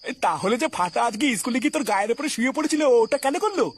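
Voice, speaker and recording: A man's voice: very high-pitched, animated, very fast, a little hiss or hum. A man voicing a fatherly old grandfather in a cartoon, hesitant, coaxing and soothing. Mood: gentle, concerned.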